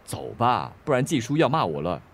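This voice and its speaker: A shy young man in a radio drama, mid-pitched with very lively intonation. He is awkward yet gently teasing. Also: brisk, breathy edge. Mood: hesitant.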